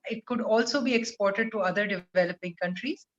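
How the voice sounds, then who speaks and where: Woman, measured video call guest. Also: medium pitch. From Pakistan. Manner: measured, articulate, steady explaining. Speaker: school program leader, interview guest. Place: room at home. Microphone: webcam on video call. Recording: muffled video call audio.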